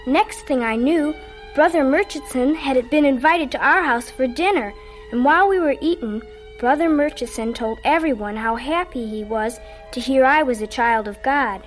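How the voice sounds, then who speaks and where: A woman's voice: sits high, moderate pace, soft background music, lightly acted, rough recording. An actress playing an evasive, stubborn young girl in a radio drama, with a childlike, plain-spoken manner. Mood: confiding. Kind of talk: radio drama acting and narration.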